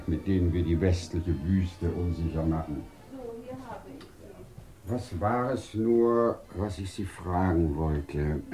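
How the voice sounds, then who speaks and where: An actor playing an urbane, teasing scientific director in a radio play, whimsical and courteous, with elaborately worded, theatrical speech. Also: male voice, fairly low pitch, dark tone, rough recording. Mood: playful, probing. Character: urbane, teasing male scientific director of a desert research station. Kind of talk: German radio play acting.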